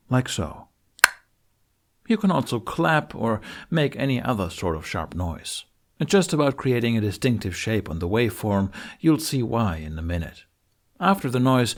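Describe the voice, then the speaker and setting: A man narrating a how-to tutorial, explaining things step by step with deliberate phrasing and pauses. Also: on the low side. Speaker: tutorial host. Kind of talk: instructional narration for a how-to video.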